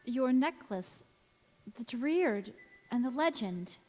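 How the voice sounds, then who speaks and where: A woman's voice: fairly high pitch, lively intonation, takes it slowly, warm tone. A woman acting in a stage radio play as an anguished, devoted young wife who is cursed never to age, speaking in an earnest, melodramatic way. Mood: desperate, resolute. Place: large reverberant church or hall. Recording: echoey hall, music behind.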